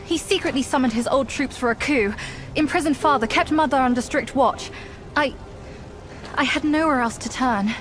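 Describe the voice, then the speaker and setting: A young fox demon princess in a fantasy game. The high voice is earnest and imploring, with dramatic delivery over a musical backdrop. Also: female voice, moderate pace, fairly bright tone. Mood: sorrowful, earnest. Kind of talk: video game cutscene acting. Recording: music behind the voice.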